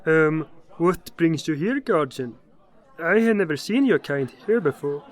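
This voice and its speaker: A timid, plump creature of a sea hunter, high-voiced and swooping, forever fretting. He dithers slowly over soft music in a fantasy audio drama. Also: animated, a faint crowd. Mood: nervous. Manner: dithering, worried, repetitive.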